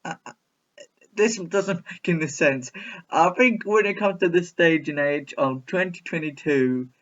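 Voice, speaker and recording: A man's voice: on the high side, unhurried, lo-fi sound. A man giving casual, rambling video commentary in a chatty, repetitive, mock-baffled way. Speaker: reaction video host.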